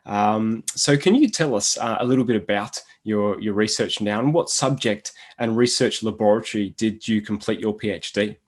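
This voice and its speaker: Low-voiced Australian man hosting an interview. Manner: friendly, filler-heavy, halting. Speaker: host.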